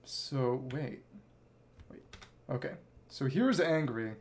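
Male game streamer, unhurried pace, animated intonation, musing in fragments, casual commentary. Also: medium pitch, a little hiss or hum. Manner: musing, thinking aloud in clipped fragments. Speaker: livestreamer, virtual avatar host. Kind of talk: casual livestream gameplay commentary, reading game dialogue aloud.

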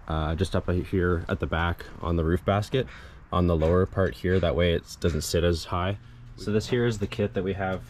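A man's voice: low voice, a little mellow. A man narrating a casual hands-on how-to as a DIY vlogger outdoors, speaking in hesitant, piecemeal phrases. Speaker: DIY vlogger demonstrating an installation. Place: outdoors in a residential yard and driveway. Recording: clear background noise, outdoor ambience.

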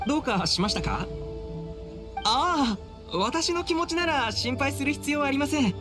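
Dutiful samurai officer in an anime game, humble n formal but pitch moves a lot, music behind. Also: male voice, animated, normal speed. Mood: forced cheer. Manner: formal, deferential speech. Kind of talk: Japanese anime game voice acting. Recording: studio voice over game music.

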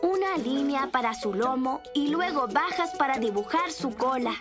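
A cheerful little boy rabbit from a preschool cartoon. The voice is high and childlike, with simple, instructive phrasing for small children. Loud music plays behind it. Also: lively intonation, normal speed. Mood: patient, instructive. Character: cheerful young boy rabbit who loves drawing.

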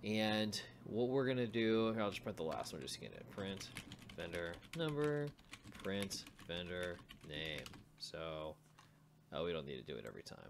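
A man's voice: low-pitched, sing-song, moderate pace, background noise. A man giving an informal screencast coding tutorial, thinking aloud as he works through each step. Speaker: programming tutorial instructor.

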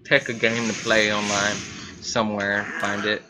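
A man's voice: fairly low pitch, level tone, moderate pace. A man giving casual let's play commentary, rambling and repeating himself as he thinks aloud, as host of a gaming channel. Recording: faint background noise.

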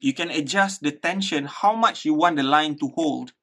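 Higher-voiced man giving a casual DIY demo. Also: moderate pace, fairly bright tone. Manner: conversational, thinking aloud with repeated words. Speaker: DIY tutorial host. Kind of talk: casual hands-on how-to explanation. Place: small living room indoors.

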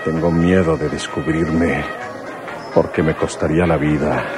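A man's voice: extremely low pitch, steady tone, measured pace, music in the background. A man playing an older rancher from the north in a radio drama, folksy, hesitant and muttering. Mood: musing, worried. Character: older northern rancher father, smitten yet secretly fearful.

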